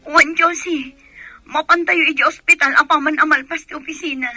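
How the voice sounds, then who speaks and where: A woman's voice: high-pitched, steady tone, a little fast, bright, forceful tone, no room sound. A woman with an Ilocano accent playing a fretful, anxious police officer's wife in a radio drama. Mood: urgent. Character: anxious wife of a shot police officer, older sister-in-law.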